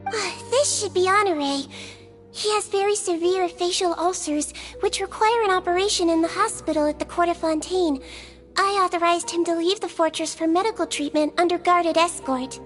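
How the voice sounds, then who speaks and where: A woman playing a small, gentle young head nurse who explains things politely and methodically, in anime game style. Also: very high voice, normal speed. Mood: calm, earnest. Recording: music behind the voice.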